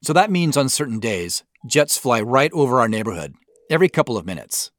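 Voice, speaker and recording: American man narrating with expressive pitch. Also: fairly quick, dry recording, studio quality. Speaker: show creator and host. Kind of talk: scripted behind-the-scenes commentary.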